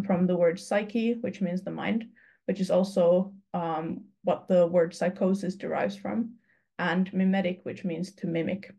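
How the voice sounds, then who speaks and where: A young woman from Finland presents her research over a webcam, speaking low with a gravelly, creaky edge. Her tone is mellow and dark, and she explains things earnestly with ums and restarts. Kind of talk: conference talk over slides. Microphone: video call webcam.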